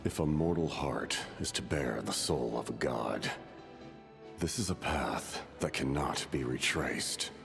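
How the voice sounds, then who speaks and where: Cavernous, extremely deep, grave elder. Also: male voice, unhurried, creaky, heavily acted. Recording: long hall reverb, music behind.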